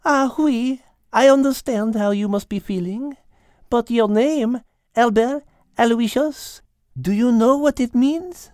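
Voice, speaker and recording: A poised French female cat speaks slowly in a high, soft-edged voice. She is formal, wise and a little stern, measuring out each phrase as in a storybook. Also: dry recording, clean studio sound. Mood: gently explaining. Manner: measured, formal, slow and deliberate.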